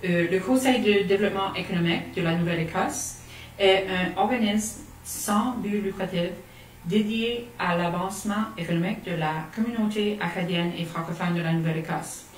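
A woman's voice: mid-range voice, a little fast. A middle-aged woman reading a formal scripted presentation aloud as an officer at a lectern. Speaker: economic development officer giving a presentation. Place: meeting room indoors, at a lectern. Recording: echoey room, distant mic, faint noise.

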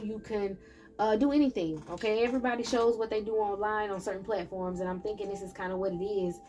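A woman's voice: medium pitch, darkish tone. Chatty woman giving a tarot reading. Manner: chatty, talks straight to viewers as "y'all". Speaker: tarot reader. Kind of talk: casual tarot reading for viewers. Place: indoor table with candles and plants.

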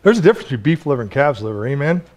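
A man in his forties preaching a sermon in a small church, chatty and lively, laughing often and restarting his phrases. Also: mid-range voice, very fast. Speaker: pastor.

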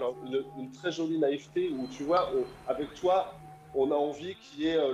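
A man's voice: fairly high pitch, darkish tone, dull audio. A man in his thirties calling in to a radio show, talking in an enthusiastic, rambling way with many fillers. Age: 30s. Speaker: caller. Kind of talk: casual radio call-in chat. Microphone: call over the internet. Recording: roomy echo, faint music behind.

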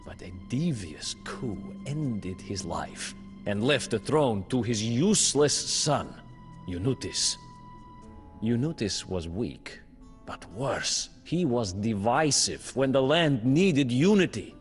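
A man giving scripted storybook narration for a game campaign, grave and measured with dramatic emphasis. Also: mid-range voice, sing-song. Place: studio voice-over. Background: faint music score.

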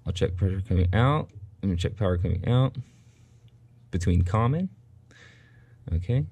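A man's voice: low-pitched, lively intonation, normal speed, a little mellow, slightly rough. A man teaching HVAC troubleshooting at a workshop bench, talking casually step by step and thinking aloud as he tests a circuit. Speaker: HVAC technician instructor. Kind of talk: hands-on troubleshooting demo. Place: workshop bench with a test board.

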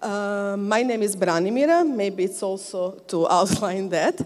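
Lady moderating a panel, talks slow with lots of uh and okay, big echoey hall. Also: mid-range voice, darkish tone. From Croatia. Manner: friendly, chatty, with many fillers and "okay?" checks. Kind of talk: moderating a live panel discussion. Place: large sports hall used for a conference. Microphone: handheld microphone. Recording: long reverb of a big hall.

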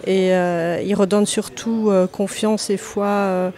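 A woman's voice: medium pitch, level tone, takes it slowly, warm tone. A woman in her thirties from Lebanon talks about her writing in a podcast interview. She hesitates often, restarting phrases and filling gaps with small sounds, and her voice sits in a middle register. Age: 30s. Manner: hesitant, many fillers and restarts. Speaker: author guest.

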